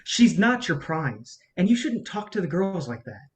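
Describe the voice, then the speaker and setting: An actor in a staged reading playing a blunt, defiant film campaign colleague who talks insistently. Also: male voice, high voice, very animated, a little slow.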